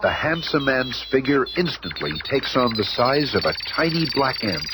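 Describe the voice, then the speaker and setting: A man's voice: medium pitch, very animated, moderate pace, natural voice. A man narrating a 1970s radio serial in a florid, theatrical, dramatic manner. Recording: very noisy old 1970s radio recording.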